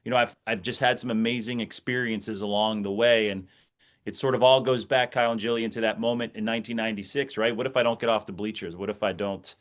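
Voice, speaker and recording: A man's voice: lowish voice, fairly even intonation, quick pace. Middle-aged American man telling stories in an interview. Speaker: sports PA announcer, podcast guest. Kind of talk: conversational interview storytelling.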